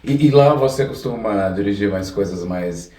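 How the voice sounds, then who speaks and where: A man's voice: lowish voice, animated, normal speed, mellow. A Brazilian man hosting a casual podcast interview, phrasing things tentatively with frequent check-in tags. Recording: echoey room, distant from the mic.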